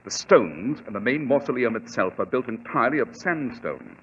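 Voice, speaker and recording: An actor playing a brisk, assured gentleman detective with a British accent in a 1940s radio drama, speaking crisply and formally. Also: mid-range voice, sing-song, slight background noise. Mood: brisk, pleased. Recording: muffled old radio recording, faint music.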